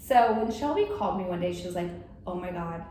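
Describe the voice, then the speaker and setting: A young adult woman with a high-pitched voice, co-hosting a podcast in casual chat and telling stories with lots of laughter. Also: quick pace. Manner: laughing, animated storytelling with quoted voices. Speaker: podcast co-host. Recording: very echoey room with faint noise.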